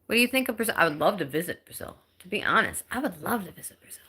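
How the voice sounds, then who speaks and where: A woman in her thirties with a bright, clear voice, talking casually to viewers on a phone live stream. She chats in a halting, easygoing way, full of ums and restarts, and reads comments aloud from home. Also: fairly low pitch, big pitch swings. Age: 30s.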